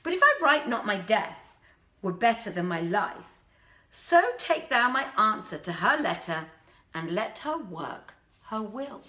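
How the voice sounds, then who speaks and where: A woman's voice: medium pitch, big pitch swings, measured pace, bright, forceful tone, pitched above the performer's own voice. A woman voicing a lovesick young prince in a storybook reading, with impassioned, archaic declamation. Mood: anguished, defiant. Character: lovesick young prince, tearful, fervent and desperate.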